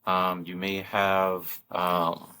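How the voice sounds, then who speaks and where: An American man co-hosting a casual travel talk. His voice sits very low but has a bright, forward edge to it. He speaks haltingly and often pauses with an um. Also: completely flat delivery, clean tone. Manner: halting, many ums and restarts. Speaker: co-host of a travel channel. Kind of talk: casual chat.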